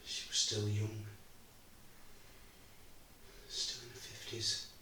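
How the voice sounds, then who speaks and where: Grieving older father, played by a man in his 60s: low, hushed, measured, recorded far off in a very echoey room. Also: completely flat delivery, heavily acted. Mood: sorrowful. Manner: subdued, measured storytelling, growing quieter. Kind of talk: theatre monologue. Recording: very echoey room, mic far away, faint hiss.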